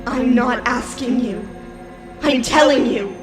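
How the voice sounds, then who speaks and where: A woman's voice: a little high, slow, over loud music. A woman acting a desperate, stubborn young fighter in an anime-style fan drama, with strained and urgent delivery. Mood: urgent, pleading.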